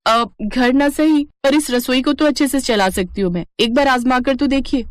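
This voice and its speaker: A woman's voice: high-pitched, moderate pace, dry recording, pitched above the performer's own voice, close to an everyday voice. A woman voicing a sweet, plucky young Indian daughter-in-law in a cartoon drama, pleading yet cheeky. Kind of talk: Hindi cartoon family drama acting.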